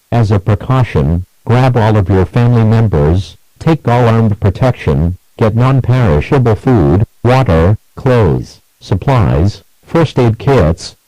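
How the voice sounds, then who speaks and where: A man reading a scripted emergency alert as an announcer, in a measured, even manner through lists and warnings. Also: on the low side, no echo, poor audio.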